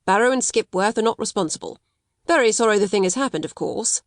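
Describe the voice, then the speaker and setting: A woman's voice: mid-range voice, lively intonation, quick pace, no room sound, lightly acted. Woman playing a cool, sly businessman, dry sound. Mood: uninterested. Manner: detached, smooth-talking, uninterested. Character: cool, shrewd businessman, unruffled, slyly sinister.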